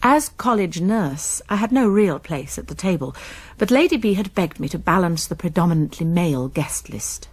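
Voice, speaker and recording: A woman playing a wry college nurse who narrates a radio drama in measured tones, with an English accent and a husky voice. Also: fairly low pitch, animated. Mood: reflective, ominous. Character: wry, reflective female college nurse recalling events.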